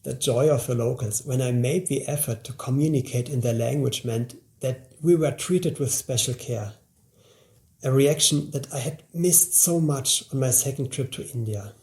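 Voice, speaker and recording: A man's voice: mid-range voice, moderate pace, a little mellow. A man in his forties delivering a scripted promotional narration as a course presenter, speaking to the listener directly in a motivational way. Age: 40s.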